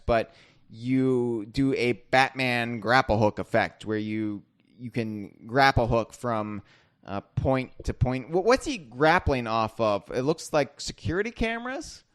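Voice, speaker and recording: A man's voice: mid-range voice, measured pace, clean tone. A man hosting a podcast, chatting casually in a rambling, self-deprecating, joking way. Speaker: podcast host.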